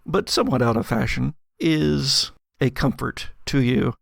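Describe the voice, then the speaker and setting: A man hosting and game-mastering an actual-play audio drama, telling the story in a measured, dramatic way. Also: medium pitch, gravelly, dry recording, studio quality.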